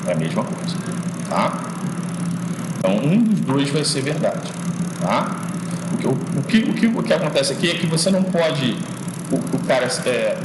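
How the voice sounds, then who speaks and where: A male teacher giving an informal logic lesson, often checking in with "né?" and restarting his sentences as he thinks aloud. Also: medium pitch. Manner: explaining slowly, frequent checks like "né?" and "tá?"; restarts and repeats words while thinking aloud. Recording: echoey classroom from far off with street noise.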